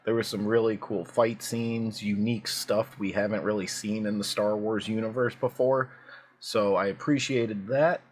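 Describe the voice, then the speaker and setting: A man hosting a casual video reaction, his voice hoarse and prone to cracking, talking in a chatty, rambling way with plenty of fillers. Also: fairly low pitch, fairly even intonation, moderate pace. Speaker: YouTube reaction host.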